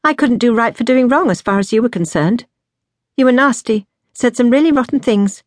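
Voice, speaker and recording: A woman voicing a blunt, outspoken eighteen-year-old younger sister in an audiobook, sharp and direct. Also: a little high, flat delivery, moderate pace, no room sound, studio quality, pitched above the performer's own voice, natural voice. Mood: sharp, accusing. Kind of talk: audiobook character dialogue.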